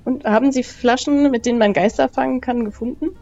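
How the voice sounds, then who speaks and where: A woman's voice: fairly high pitch, a little fast, darkish tone, soft background music. A woman role-playing casually as a player, polite and conversational, on a slightly dull microphone. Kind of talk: casual improvised in-character dialogue.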